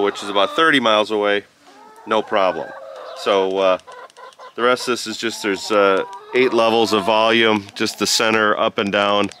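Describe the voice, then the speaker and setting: A man with a lowish, forceful, bright voice talks through a product in a casual, halting way. He is recorded outdoors on a farm, with light ambient noise and clucking chickens behind him. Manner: halting, informal, step-by-step explaining. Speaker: product reviewer and vlogger. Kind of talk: casual unscripted product review to camera.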